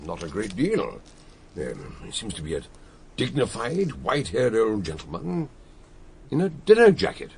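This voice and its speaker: An actor playing a calm, inquiring detective figure with a gravelly, husky voice. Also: male voice, lowish voice, a little hiss or hum, heavily acted. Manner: measured, inquiring. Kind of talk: radio drama acting and narration.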